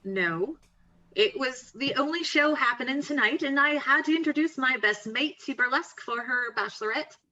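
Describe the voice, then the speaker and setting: A woman playing a bright, high-voiced wry best friend. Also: normal speed, lo-fi sound. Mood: breezy. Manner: teasing, sardonic, confident. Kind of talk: table read acting, conversational.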